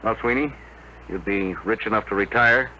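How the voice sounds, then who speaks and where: An actor playing an unflappable, resolute rocket commander with clipped orders, in a 1950s radio drama style on a noisy old broadcast. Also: male voice, on the low side, moderate pace, sharp tone. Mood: persuasive.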